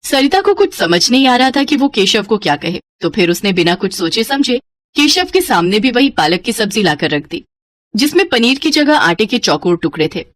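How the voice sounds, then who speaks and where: A woman narrating a scripted children's story in an expressive storyteller's manner. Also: a little high, moderate pace, no room sound.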